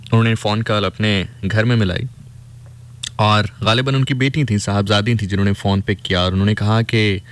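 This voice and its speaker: A man's voice: fairly low pitch, fairly even intonation, moderate pace. Pakistani man telling a story on radio.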